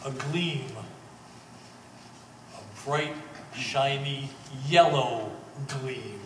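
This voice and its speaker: A man playing a wry, excitable treasure hunter in a stage play, thinking aloud in halting phrases. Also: mid-range voice, distant mic. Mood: gleeful awe. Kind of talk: live one-act stage play. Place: art gallery performance space. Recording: echoey hall from afar, faint noise.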